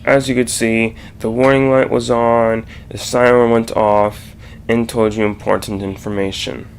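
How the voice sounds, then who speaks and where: Guy doing a slideshow talk, kinda halting, bit of fry in the voice, faint noise behind. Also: mid-range voice, flat delivery. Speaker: presenter. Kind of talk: narrated slide presentation.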